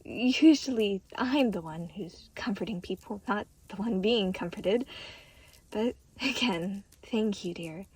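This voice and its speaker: Woman playing a breathy, gentle, hesitant deity. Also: medium pitch, takes it slowly. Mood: touched, bashful. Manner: soft-spoken, hesitant, polite, motherly endearments. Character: gentle, awkward, caring deity who comforts souls in limbo. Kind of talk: audio roleplay addressed to the listener.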